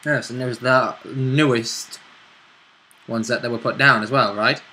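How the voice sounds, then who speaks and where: A man's voice: medium pitch, fairly even intonation, unhurried, bright, forceful tone, a little hiss or hum, low-quality recording. A man talking casually and informally as a player in a tabletop role-play game, chipping in with agreement and asides. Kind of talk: casual chat about a game session.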